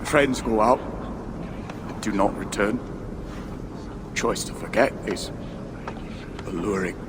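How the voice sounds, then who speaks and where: An actor playing a boisterous, grandiose drunken dwarf poet in a video game, theatrical and declamatory. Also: male voice, fairly low pitch, slow pace. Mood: somber, resigned. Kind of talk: video game cutscene acting. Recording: long hall reverb, clear background noise.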